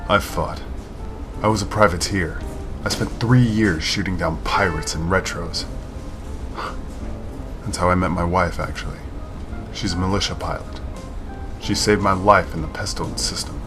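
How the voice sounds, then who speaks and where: A man acts a low-pitched human fighter pilot, a sullen ex-privateer with a curt, sarcastic edge. The sci-fi audio drama sets clear music behind him. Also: moderate pace, long pauses, lightly acted. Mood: subdued.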